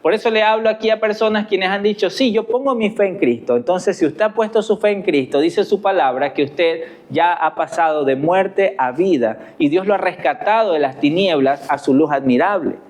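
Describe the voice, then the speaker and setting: A man's voice: high voice, moderate pace, few pauses, a little mellow. A man with an Ecuadorian accent preaching a sermon as pastor, earnest and asking his listeners rhetorical questions. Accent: Ecuadorian Spanish.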